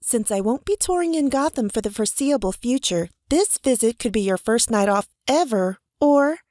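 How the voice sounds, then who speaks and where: A woman's voice: on the high side, animated, moderate pace, mellow, no room sound, clean studio sound, natural voice. A woman playing a guarded, defiant stage magician in an audio drama, with a wry, self-assured manner.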